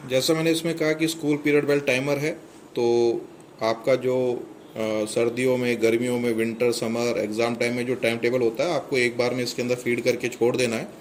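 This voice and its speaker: A man's voice: medium pitch, level tone, a little fast, a little hiss or hum. A middle-aged man giving a step-by-step product demonstration, explaining plainly with a few hesitations, indoors beside the equipment. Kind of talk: product walkthrough demo.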